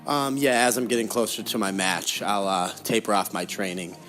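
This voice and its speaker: A man's voice: on the low side, normal speed, a bit bright, faint music behind. A young adult man answering interview questions in a casual, conversational way as a guest. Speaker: interview guest, professional fighter.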